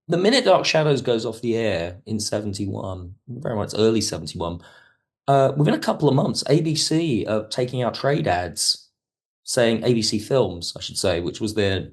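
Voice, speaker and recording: A man speaking as an expert guest in an interview, explaining things in a measured, digressive way. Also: lowish voice. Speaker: podcast guest, television history expert. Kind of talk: conversational interview commentary.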